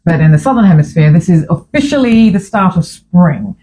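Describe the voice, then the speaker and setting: A woman's voice: lowish voice, expressive, brisk, dark tone, a little hiss or hum. A woman from Fiji raised in England, with an English accent, hosting a casual chat in a playful manner that is full of endearments. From born in Fiji, raised in England. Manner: chatty, playful, calls people darling. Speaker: podcast host.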